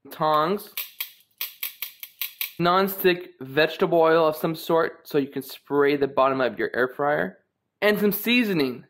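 Playful young man cooking in a kitchen. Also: fairly high pitch. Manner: playful, offhand, talks to camera while working. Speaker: home cook host. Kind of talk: joking cooking tutorial. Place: home kitchen, indoors. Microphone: camera mic, wide-angle camera on counter.

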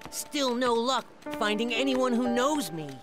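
A man's voice: extremely high pitch, expressive, measured pace, music in the background. A man voicing a small, sweet, naive childlike flying creature in a game cutscene. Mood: curious, concerned. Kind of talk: animated game cutscene acting.

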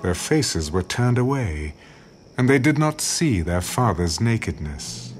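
Expressive, slow-paced male narrator. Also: lowish voice. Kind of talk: scripted scripture narration. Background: music.

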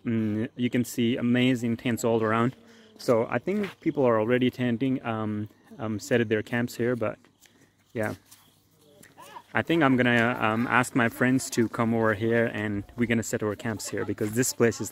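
A man vlogging outdoors at a historic site, chatting casually with many fillers and pauses as he films on a handheld camera. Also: medium pitch. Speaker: travel vlogger. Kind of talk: casual walking tour narration.

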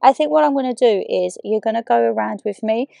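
A young woman with a slightly croaky voice, chatting in a rambling, giggly way as a shopping vlogger over a voiceover. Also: on the high side, fairly quick, warm tone, dry recording, clean studio sound. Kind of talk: chatty vlog talk and voiceover.

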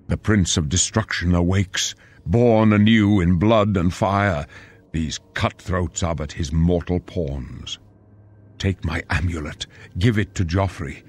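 An actor playing a solemn old emperor in a fantasy game, stately, measured and prophetic. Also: male voice, low-pitched. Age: older. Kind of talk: grave fantasy game acting.

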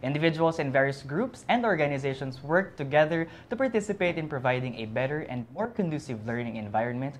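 Young male co-host, lively presenter. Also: on the high side, brisk, few pauses, slight background noise. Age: young adult. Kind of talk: scripted show hosting. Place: small TV studio set. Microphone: lapel mic.

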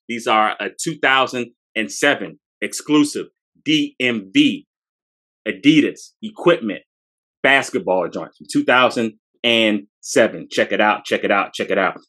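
A man's voice: lowish voice, sharp tone. A man in his forties, a sneaker podcast co-host, talks up a shoe from his collection in a hyped, slangy way, often repeating phrases, in a small room. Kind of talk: casual sneaker showcase. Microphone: fixed camera at close range.

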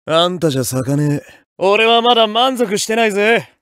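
Brash young samurai fighter: high, animated, rough street swagger, clean dry booth. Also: male voice, normal speed, no room sound. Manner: cocky, rough Edo-style street talk. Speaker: game character voice. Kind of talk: fighting game voice clips. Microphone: dry studio mic.

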